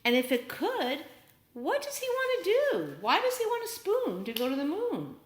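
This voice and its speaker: A woman reading a story aloud to young children, animated and encouraging, often putting questions to her listeners. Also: very high-pitched, moderate pace.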